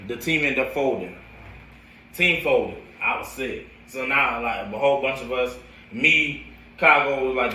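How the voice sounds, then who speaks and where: A young American man telling his own story casually in slangy, animated talk, as a coach would to friends. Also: mid-range voice, a little hiss or hum. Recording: roomy echo, distant from the mic.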